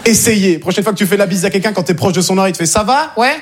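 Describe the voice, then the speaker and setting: Fast, high-voiced male stand-up comic. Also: steady tone, background noise. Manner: casual, slangy, repeats phrases. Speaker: stand-up comedian. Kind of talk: stand-up comedy storytelling. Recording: live comedy room with audience.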